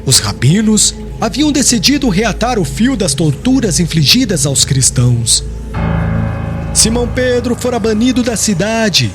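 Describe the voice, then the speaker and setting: High-pitched man narrating solemnly over music. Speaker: audiobook narrator. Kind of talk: scripted audiobook narration of a religious novel.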